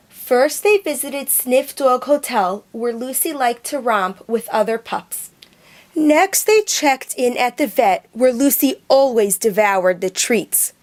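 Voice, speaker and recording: Lady reading a kids picture book, slow and clear, bit high. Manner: slow, careful storybook reading. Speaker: children's book reader.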